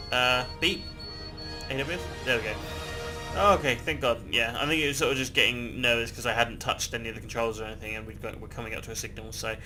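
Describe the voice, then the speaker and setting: A man casually chatting and rambling while he plays a game, swearing freely and laughing often. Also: mid-range voice. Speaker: gameplay video host. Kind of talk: casual gameplay commentary.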